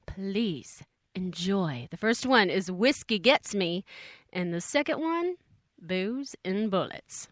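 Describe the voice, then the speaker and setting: A woman's voice: medium pitch, animated, slow, no echo, clean studio sound. Bubbly woman radio host. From Texas. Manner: bubbly, slangy and rambling. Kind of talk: chatty radio DJ banter.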